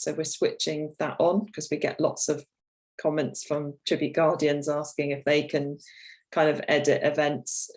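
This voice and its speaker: Croaky woman hosting a webinar. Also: sits low, a little mellow. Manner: chatty, with frequent ums and throat clearing. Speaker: webinar host. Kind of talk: presenting a product webinar.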